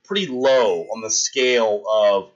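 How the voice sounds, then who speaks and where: A man's voice: fairly low pitch, a little slow, dull audio. A young man hosting a livestream, telling a rambling story in casual chat and talking to his viewers. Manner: rambling anecdote, talks to chat, shouts out followers. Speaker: livestream host.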